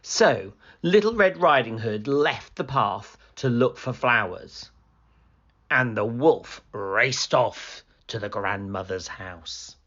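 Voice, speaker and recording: A woman reading a children's story aloud as scripted narration, expressive and deliberate. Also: a bass voice. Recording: slightly dull, lo-fi sound.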